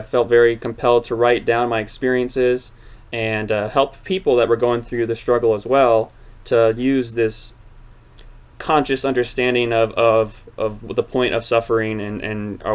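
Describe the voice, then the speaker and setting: A man's voice: mid-range voice, clear, resonant tone. A man in his twenties hosting a casual interview chat, rambling and earnest with many fillers and restarts. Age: 20s. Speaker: channel host.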